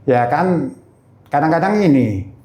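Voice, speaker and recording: A man's voice: a little high, lively intonation, slow, darkish tone. A middle-aged man talking casually as an interview guest, informal and anecdotal, in a roomy space. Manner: informal, anecdotal, loosely phrased. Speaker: veteran gemstone trader, interview guest. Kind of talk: casual chat. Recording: roomy, echoey sound.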